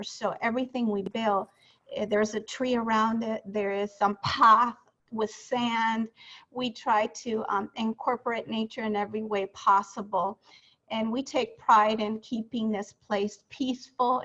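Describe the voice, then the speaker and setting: Brief: woman in her 40s, from Honduras, higher-pitched, unhurried presenter, dull lo-fi call audio. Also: a bit bright. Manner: unhurried, frequent ums while explaining. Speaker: resort booking director presenting. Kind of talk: webinar presentation.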